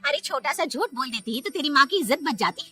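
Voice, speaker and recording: A woman acts out a middle-aged mother, needy and reproachful. Her voice is very high, in a cartoon family drama with faint music. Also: lively intonation, quick pace, sharp tone. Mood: wounded, reproachful.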